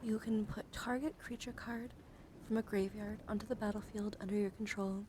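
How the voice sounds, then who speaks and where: A woman hosts an ASMR livestream in a soft, gentle voice at a medium pitch. She speaks close to the mic and often slips into whispers. Background ambient noise is clearly audible behind her. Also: steady tone, normal speed. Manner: frequently drops into whispers. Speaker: livestream host. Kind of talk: soft-spoken ASMR card reading and casual stream chat.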